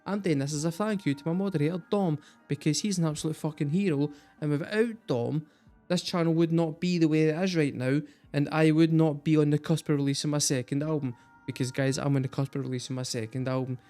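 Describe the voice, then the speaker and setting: A man's voice: on the high side, brisk, warm tone. A Scottish man hosting a music reaction video, chatting casually and swearing freely. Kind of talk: casual chat to camera.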